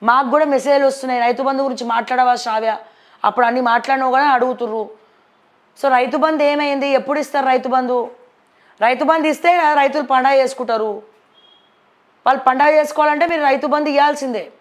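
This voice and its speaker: A woman's voice: high voice, fairly even intonation, fast. A woman with a Telangana accent presenting news headlines with informal, outspoken commentary and some English words mixed in.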